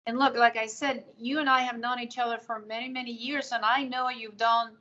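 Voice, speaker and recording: A woman's voice: a little high, flat delivery, brisk, sharp tone. A woman hosting a TV interview, asking questions in an enthusiastic, affirming way. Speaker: interview host. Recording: dull lo-fi sound.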